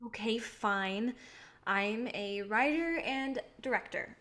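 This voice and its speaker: A woman's voice: a little high, slow, a bit bright. A woman playing a guarded, idealistic filmmaker, wry and self-deprecating, in a naturalistic staged play reading. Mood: mildly exasperated.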